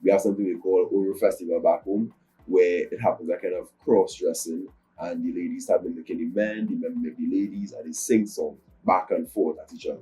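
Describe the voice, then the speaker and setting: Low, mellow young Nigerian man. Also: steady tone, fairly quick, rough recording. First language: Yoruba. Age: young adult. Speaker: guest musician. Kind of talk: interview answers.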